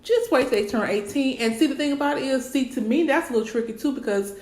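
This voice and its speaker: A woman's voice: sits high, fast talker, a little mellow. Podcast guest, female, frank and talkative, voice worn from a cold, echoey room. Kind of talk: casual podcast chat.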